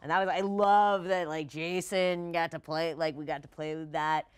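A woman in her thirties talking casually as a guest on a gaming discussion show, rambling and animated, often saying "like" and "you know". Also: low-pitched, moderate pace, clear, resonant tone. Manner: rambling, animated, peppered with 'like' and 'you know'. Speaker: tabletop game player, guest on a discussion show. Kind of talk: casual chat. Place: TV-style studio set.

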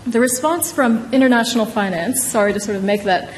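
Higher-pitched woman lecturing in an echoing hall. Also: fairly quick. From Indonesia. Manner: conversational, frequently tags points with "right?". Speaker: law professor, author presenting her book. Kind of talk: informal academic talk at a podium. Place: large wood-panelled courtroom-style hall. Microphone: podium microphone. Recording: reverberant wood-panelled hall, some noise.

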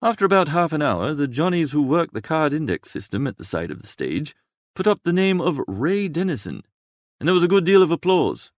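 A man reading a first-person literary story aloud as an expressive narrator. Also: on the high side, fairly quick, no room sound, clean studio sound. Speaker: audiobook narrator.

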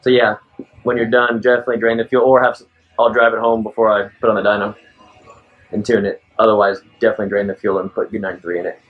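A man's voice: lowish voice, little pitch movement, fast. Man, casual shop talk, matter-of-fact, handheld action camera, dull lo-fi sound, indoor garage. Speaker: performance shop owner and tuner. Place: large car repair garage, indoors.

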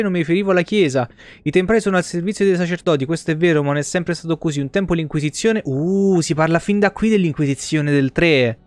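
A male streamer with a fairly high voice. He talks casually and informally over his game, close to the microphone, in a dry sound. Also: dry recording. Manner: informal, mild exclamations. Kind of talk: casual live game commentary, reading game text aloud. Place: small indoor streaming setup.

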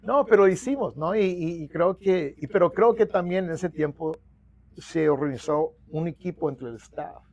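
A middle-aged man with a Mexican-American accent speaks on a lapel mic in a small office. His voice sits a little high and carries a gravelly edge. He tells his stories in Spanish, drops English words in, and restarts his phrases often. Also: normal speed, highs cut off. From United States. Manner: mixes English into Spanish, many restarts, animated hand gestures. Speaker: union organizer guest. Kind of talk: storytelling interview.

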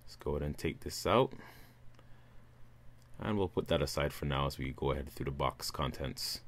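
A man, casually talking viewers through a phone unboxing in a relaxed, upbeat way. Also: a bass voice, moderate pace. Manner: relaxed, upbeat presenter addressing viewers as guys. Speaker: tech reviewer.

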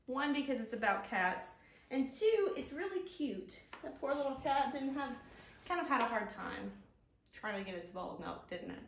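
A woman in her thirties hosting a children's storytime, speaking in a gentle, sing-song, drawn-out way to young viewers. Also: sits high, animated, gravelly, a little hiss or hum. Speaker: library storytime host. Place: living room, indoors, on a couch. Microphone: camera a few feet away. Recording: roomy echo from camera distance.

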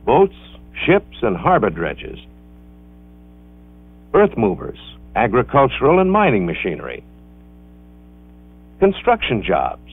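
A man reading scripted educational film narration in a measured, instructional way with careful enunciation, on an old film soundtrack. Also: medium pitch, slightly rough, no echo, narrow, tinny audio. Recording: band-limited vintage 16mm film soundtrack.

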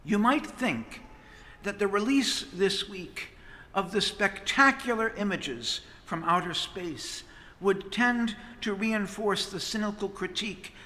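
A middle-aged priest preaches a sermon in an unhurried, measured rhythm. His voice is high and bright, and long reverb trails every phrase through the big stone church. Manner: expressive preaching with sweeping hand gestures. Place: large stone church, at the pulpit. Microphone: pulpit microphone on a stand.

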